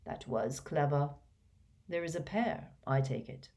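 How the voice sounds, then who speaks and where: A woman voicing a gentle, calm old male wizard headmaster who speaks softly and mildly. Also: deep voice. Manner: soft, mild, unhurried.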